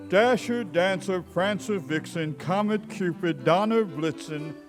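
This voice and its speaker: A man acting a jolly, quick-witted department store Santa who is kindly with children, in a live stage radio play. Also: music in the background. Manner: booming ho-ho-ho greetings, dry asides. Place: live on stage at a recreation center.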